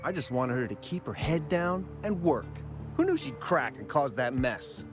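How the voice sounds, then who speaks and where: An actor playing a callous, brash exile criminal in a video game, dismissive and quick to shift blame. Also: male voice, on the high side, very animated, over music. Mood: smug, irritated. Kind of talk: English video game voice acting.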